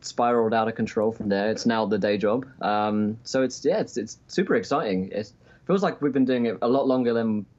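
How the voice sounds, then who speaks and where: A man's voice: lowish voice, brisk, darkish tone. A man with an English accent chatting casually as a podcast guest over a remote call. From England, just outside London. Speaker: podcast guest, Twitch D&D streamer. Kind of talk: casual interview chat. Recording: dull lo-fi call audio.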